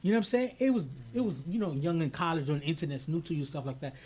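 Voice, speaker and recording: A man co-hosts a podcast in a casual, animated way. His high voice pushes hard on key points, and he often repeats a word before he gets going. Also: rapid-fire, slightly rough. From United States, of Haitian parents. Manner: animated, emphatic, repeats words when starting. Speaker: podcast co-host.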